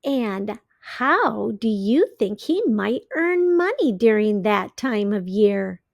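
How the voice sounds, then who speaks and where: A woman reading a picture book aloud to young children, deliberately and simply, pausing to put questions to her listeners. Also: medium pitch, very animated, vocal fry, no room sound, clean studio sound. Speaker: read-aloud storyteller for early readers.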